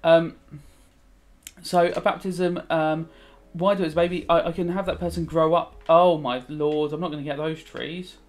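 A British man in his thirties with a fairly high voice talks casually as a teacher. He rambles and thinks aloud, moving between explaining a subject and musing over a video game. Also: fairly even intonation, moderate pace. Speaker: religious studies teacher. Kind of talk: casual revision commentary while playing a video game.

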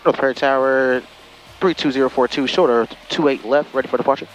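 Young American male pilot, mid-pitched, casual vlog chat and radio calls over a headset, music underneath. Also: fairly even intonation, brisk, rough recording. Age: young adult. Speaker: private pilot and vlogger. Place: small plane cockpit in flight. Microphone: aviation headset.

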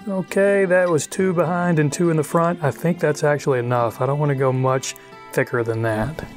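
Relaxed middle-aged man teaching fly tying. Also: fairly high pitch, moderate pace, soft background music. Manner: relaxed, chatty, thinking aloud. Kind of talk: casual instructional narration. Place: outdoors on a wide river.

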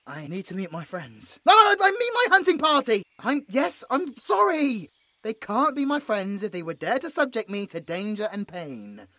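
British male hunter a man acting, frightened captive with a sarcastic streak; stammering, very animated delivery, dry recording. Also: high-pitched, normal speed, no room sound, exaggerated voice. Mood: pained, then panicked, placating. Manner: stammering, pleading, with muttered sarcastic asides. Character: frightened, sarcastic injured young monster hunter, placating his captor. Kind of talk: audio roleplay acting.

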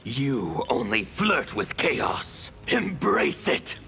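A man voicing a fanatical, mocking chaos zealot in a video game, theatrical and gravelly. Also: mid-range voice, very animated, bright, forceful tone, heavily acted. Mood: gleeful taunting. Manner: theatrical, taunting, drawn-out delivery. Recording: music playing behind.

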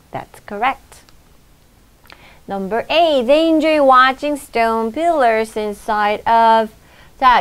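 A woman in her thirties teaching an English lesson in a studio, reading the English text slowly and clearly and then explaining it in Korean. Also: a little high. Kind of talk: video lesson explaining a news article. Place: studio in front of a projected screen.

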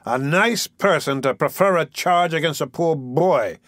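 A man performs a pompous, bullying magistrate from a classic storybook. He barks his orders with irritable contempt and pushes his voice up in pitch, in a very dry recording. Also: expressive, brisk, a bit bright, dry recording. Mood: stern, contemptuous. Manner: peremptory, barking, contemptuous.

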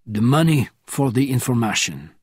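A huge, menacing informant speaks low, slow and formal, as a male reader voices him. The voice is husky and breathy, and the recording is close and dry. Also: very animated, darkish tone, no echo.

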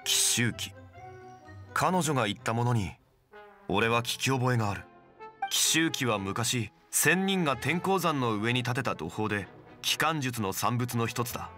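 An actor voicing a composed, learned gentleman in an anime game, formal, patient and measured. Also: mid-range voice, lively intonation, soft background music.

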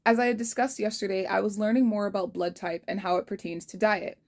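A young woman in her twenties talking casually to her phone in a kitchen, earnest and frank, reflecting out loud. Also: mid-range voice, steady tone, quick pace. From United States. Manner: earnest and reflective, frank, spells out numbers carefully. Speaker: vlogger. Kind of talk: casual diary vlog. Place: kitchen indoors. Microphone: phone held low at arm's length.

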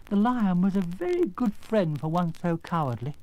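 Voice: medium pitch, sing-song, moderate pace, mellow, voice pushed up, natural voice, low-quality recording. A storyteller voicing a kind, loyal male scarecrow with an eager, earnest way of talking. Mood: sad, worried.

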